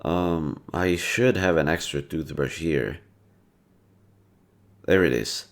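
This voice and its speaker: A man's voice: rumbling low, slow pace, full character voice. A man playing a kind, teasing, easily flustered young guy in a roleplay audio, talking casually and playfully straight to the listener. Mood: caring, gentle. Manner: playful, casual and slangy, with muttered asides.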